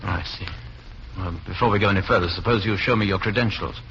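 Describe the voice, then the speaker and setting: Fast, tense, low-voiced hero. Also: male voice, gravelly, fairly bright tone. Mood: anxious. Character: nervy, blunt Englishman caught up in espionage. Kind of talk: 1940s radio drama acting. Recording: muffled, noisy old radio recording.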